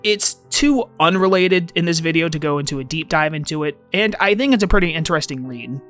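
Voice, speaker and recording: A man's voice: a little high, fairly quick, a bit bright, soft background music. A man narrating an informal scripted fan video essay about a game, with emphatic, swinging phrasing.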